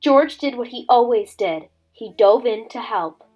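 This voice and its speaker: A woman reading a children's story aloud as a teacher would, with dramatic, expressive delivery. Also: on the high side, a little slow, dull audio. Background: background music.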